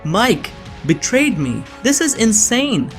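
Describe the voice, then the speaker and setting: A man's voice: sits high, sing-song, takes it slowly, faint music behind, exaggerated voice. A man playing an uneasy, earnest young man who narrates his own suspense story in a visual novel. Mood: shocked, anxious. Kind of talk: first-person scripted visual novel narration and dialogue.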